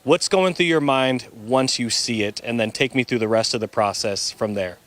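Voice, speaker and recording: A man's voice: medium pitch, fairly bright tone. A man from Arizona, a TV news reporter, interviewing a guest live outdoors in an upbeat, friendly way. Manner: upbeat, friendly, quick questions.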